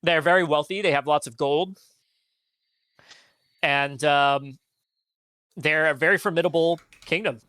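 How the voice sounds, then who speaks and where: A man's voice: a little high, measured pace, pauses often, pushed and bright, clean tone, no room sound. A man co-hosting a podcast, chiming in casually and briefly. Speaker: podcast co-host. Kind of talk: casual history chat.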